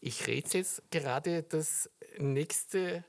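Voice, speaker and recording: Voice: mid-range voice, slow. A radio host in a studio, asking a musician curious, conversational questions.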